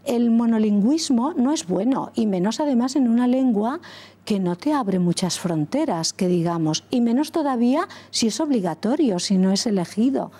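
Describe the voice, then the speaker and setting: A middle-aged woman from Catalonia, a former school principal, answering interview questions earnestly and deliberately, pausing and correcting herself often. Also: a little high, lively intonation, warm tone. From Catalonia, Spain. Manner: deliberate, with frequent pauses and self-corrections.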